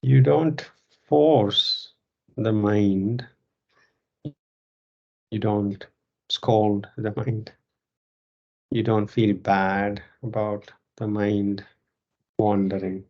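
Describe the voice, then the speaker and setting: A yoga teacher leads a guided meditation very slowly, with a creaky, fry-laden voice. The delivery is calm and measured, in short phrases set apart by pauses. The recording is somewhat dull and muffled. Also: deep voice.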